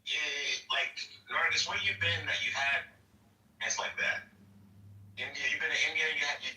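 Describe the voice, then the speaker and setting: A man's voice: a little high, steady tone, normal speed, pushed and bright, recorded from a distance. A man in casual chat, laid-back, often repeating himself and laughing between lines. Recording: roomy echo, off the mic.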